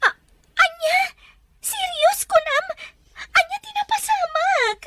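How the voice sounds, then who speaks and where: A woman playing an alarmed young woman in an audio drama, speaking slowly in shock. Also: very high-pitched, very noisy. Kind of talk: Ilocano audio drama acting. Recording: loud music bed behind. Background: loud drama music.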